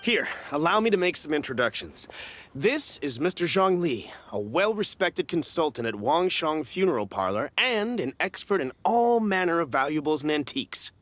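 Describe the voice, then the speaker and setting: A man's voice: on the high side, big pitch swings, normal speed, fairly bright tone, a little hiss or hum. A man playing a nervous, eager merchant in an anime game, stammering and ingratiating. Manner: fawning, stammering.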